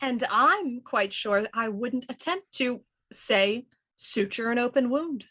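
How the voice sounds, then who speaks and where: An actress playing a composed, sharp-witted female inspector in a 1920s radio mystery, speaking crisply and dryly. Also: fairly high pitch, expressive, slow pace, exaggerated voice. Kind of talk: 1920s radio drama acting.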